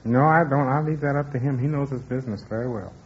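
A man's voice: mid-range voice, fast. A young American man from Los Angeles, a prizefighter on a quiz show, giving short, polite, plain answers. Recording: muffled noisy old radio, audience behind.

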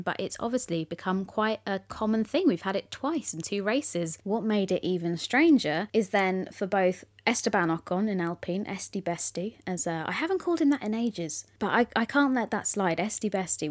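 A young woman hosting a solo podcast, chatty and excitable, talking straight to her listeners with a slightly croaky voice after a loud concert. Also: medium pitch, no room sound. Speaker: podcast host. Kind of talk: casual solo podcast chat.

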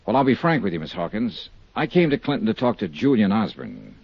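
An actor playing a tough, persistent insurance investigator in a 1950s radio drama, with a clipped, direct, probing delivery. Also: male voice, on the low side, huge pitch swings, a little fast, gravelly, breathy edge, no echo, exaggerated voice. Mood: insistent. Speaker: lead detective and narrator. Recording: muffled 1950s radio recording.

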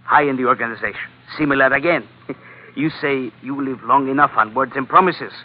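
A male actor playing a sly, insinuating gangster of about thirty with a Spanish accent and halting English in a 1940s-style radio drama. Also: medium pitch, natural voice. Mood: sly, friendly persuasion. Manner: insinuating, chuckling, halting foreign phrasing. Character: sly, chuckling gangster lieutenant, man of thirty-one. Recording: tinny, faintly noisy old radio recording.

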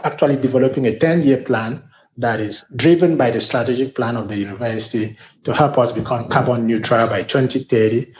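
A man's voice: medium pitch, a little fast, darkish tone, reverberant. A middle-aged man from Nigeria with a Nigerian accent, a university sustainability director giving earnest, formal interview answers with frequent restarts.

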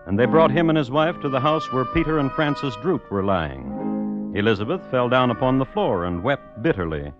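A man narrating an old-time radio drama in a formal, deliberate storytelling style. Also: medium pitch, very animated, close to an everyday voice. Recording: muffled old radio recording. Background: faint music.

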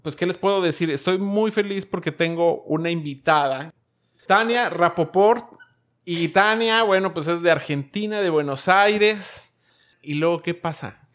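A man hosting an interview in a conversational way, upbeat and quick with short reactions. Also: high voice, fairly bright tone. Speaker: interview host.

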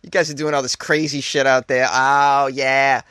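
A man with an ordinary, mid-pitched voice reads offbeat news items and breaks off into jokey, disbelieving asides. He keeps laughing at his own jokes. The sound is dry and close, with no room echo. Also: little pitch movement, normal speed, bright, forceful tone, clean tone. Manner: mock-incredulous asides. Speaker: host. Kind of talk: comedic news reading and riffing.

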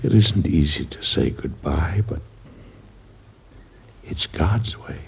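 An actor playing a dying, devout president in an old-time radio drama, speaking tenderly, solemnly and haltingly. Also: male voice, extremely low pitch, animated, gravelly, a little hiss or hum, exaggerated voice. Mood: resigned, solemn. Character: dying, devoted, devout American president bidding his wife farewell. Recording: dull vintage radio recording with music.

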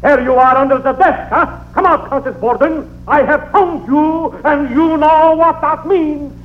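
A man's voice: very high-pitched, measured pace, soft background music. A man playing a manic, menacing villainous count who is comically childish, in a 1940s radio comedy. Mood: frantic, menacing. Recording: muffled old radio recording, faint noise.

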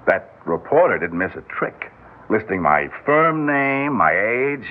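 An actor playing a harried, caring husband on edge, coaxing and wry, in old radio drama style. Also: male voice, fairly low pitch, very animated, unhurried. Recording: tinny, band-limited old radio recording.

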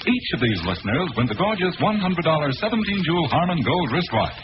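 Male announcer, raised pitch, emphatic sales delivery, vintage radio ad, noisy dull recording. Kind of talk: 1940s radio advertisement reading.

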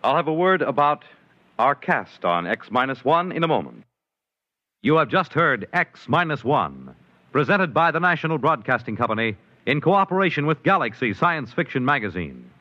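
A man's voice: mid-range voice, very animated, normal speed, bright, forceful tone. A man with an American accent giving formal, polished scripted announcements in a 1950s radio style. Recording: dull vintage radio recording.

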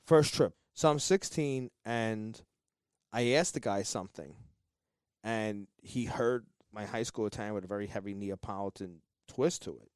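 Smooth-voiced man giving emphatic advice. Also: on the low side, normal speed, darkish tone, no echo, clean studio sound. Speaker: podcast co-host. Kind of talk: casual podcast conversation.

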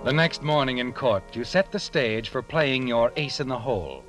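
An actor narrating an old-time radio courtroom drama in the second person, with a suspenseful, deliberate manner. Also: male voice, medium pitch, lively intonation, lightly acted. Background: music.

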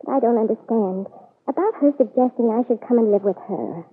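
A woman's voice: fairly high pitch, brisk, natural voice. An actress playing an insecure, suspicious woman with a guarded, formal manner in an old-time radio soap. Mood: suspicious, hurt. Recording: noisy narrow-band vintage radio recording.

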